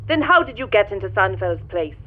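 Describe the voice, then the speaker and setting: Imperious ancient Martian woman, impatient, formal, middle-pitched, tinny radio effect, audio drama. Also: big pitch swings, brisk. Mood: suspicious. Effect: voice through a radio-like communication screen. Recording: thin radio-like band-limited sound.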